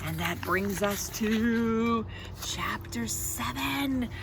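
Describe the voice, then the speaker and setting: A woman reading a children's story aloud with expressive, dramatic emphasis and chatty asides to her listeners. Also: a little high, extremely slow, vocal fry, background noise.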